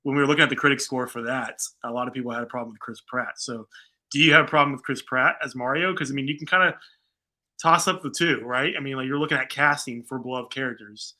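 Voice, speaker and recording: A man with a very bright, punchy voice at an average male pitch. He talks like a co-host on a casual fan show, informal and conversational, weighing opinions loosely as he goes. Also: steady tone, fast talker. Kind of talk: casual conversational film discussion.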